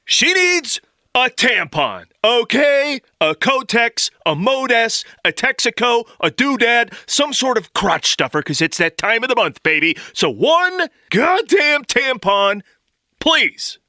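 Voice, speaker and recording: A man playing an embarrassed, easily exasperated boyfriend in a comedy sketch, halting and nervous. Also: extremely high pitch, very animated, pushed and bright, no room sound, studio quality, heavily acted. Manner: halting, nervous, giggly, bursting into outcries. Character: squirming, embarrassed boyfriend who gets exasperated.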